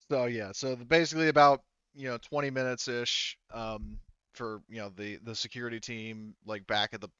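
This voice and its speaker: Smooth low-voiced man running a tabletop game. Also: fairly bright tone, dry recording, lo-fi sound. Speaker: game master. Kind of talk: improvised tabletop game narration.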